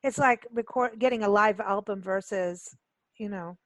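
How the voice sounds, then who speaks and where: A woman's voice: medium pitch, moderate pace, dry recording. A woman hosting a casual radio interview, chatting informally with loose, rambling questions. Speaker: radio show host.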